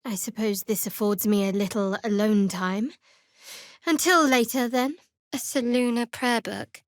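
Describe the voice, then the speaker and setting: A woman's voice: a little high, steady tone, dry recording, lightly acted. A woman playing a guarded, sardonic young cleric, close and dry-miked. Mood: soft, reflective. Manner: dry, wry, measured delivery. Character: guarded, sardonic young female cleric adventurer of a dark goddess. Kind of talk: fantasy video game voice acting.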